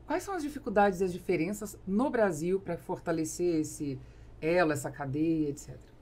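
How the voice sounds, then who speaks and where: A Brazilian woman in her forties hosting a podcast, interviewing in a measured conversational way into a studio mic. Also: on the low side, darkish tone. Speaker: podcast host. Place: small foam-lined podcast studio. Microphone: studio microphone on boom arm.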